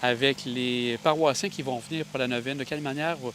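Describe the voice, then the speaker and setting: A man's voice: mid-range voice, steady tone, brisk, fairly bright tone, a little hiss or hum. A man interviewing a priest for local television, asking long questions that stumble and restart.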